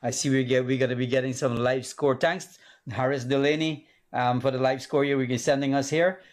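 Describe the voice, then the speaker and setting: A man's voice: mid-range voice, flat delivery, moderate pace. A man hosting a live call-in medical show in a studio, talking casually with many fillers and restarts. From Guyana.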